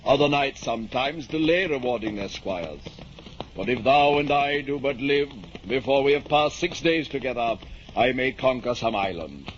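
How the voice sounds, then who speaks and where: A man's voice: medium pitch, expressive, moderate pace, natural voice. Man acting a middle-aged knight-errant, pompous, archaic, theatrical, dull old radio sound, background noise. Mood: lofty, confident. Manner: lofty, pompous, archaic declaiming. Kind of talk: 1950s radio drama acting. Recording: muffled, noisy old-time radio recording.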